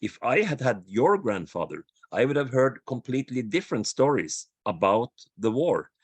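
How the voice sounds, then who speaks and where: A man speaking as a panelist in a webinar, slow and measured, repeating phrases for emphasis over a video call. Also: medium pitch, big pitch swings, breathy edge, no room sound. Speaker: dialogue practitioner, panelist. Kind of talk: webinar talk, reflective.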